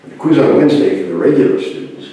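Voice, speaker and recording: An older man giving a classroom lecture at a whiteboard, explaining slowly with pauses and restarts, picked up by the camera mic from across a university room. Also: medium pitch, expressive, warm tone. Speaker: Greek lecturer. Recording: echoey room, voice from far off.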